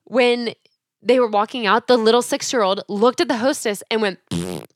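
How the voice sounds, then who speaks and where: A young woman co-hosting a podcast, telling animated stories in casual chat and acting out the people she quotes. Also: fairly high pitch, normal speed, no echo, studio quality. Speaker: podcast co-host.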